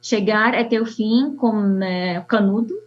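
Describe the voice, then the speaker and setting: A young woman from Syria with an Arabic accent, an architect telling her story slowly and haltingly, with many fillers. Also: mid-range voice. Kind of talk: personal testimony. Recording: dull lo-fi sound.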